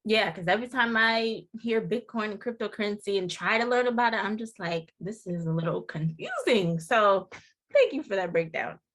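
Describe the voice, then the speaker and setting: A woman's voice: medium pitch, lively intonation, moderate pace, a bit bright. A woman interviewing a guest, upbeat and affirming as she asks questions.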